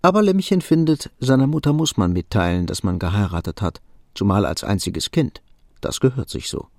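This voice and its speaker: A man narrating a scripted radio drama as an intimate storyteller, with a mellow, creaky voice. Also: fairly low pitch, very animated, normal speed, dry recording, studio quality. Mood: matter-of-fact.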